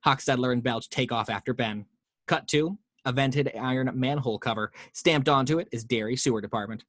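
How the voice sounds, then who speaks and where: Host narrates action lines; steady, dry, mid voice. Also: male voice, medium pitch, brisk, no echo. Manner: steady reading with small slips. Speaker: table read host reading action lines. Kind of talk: script narration. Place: home.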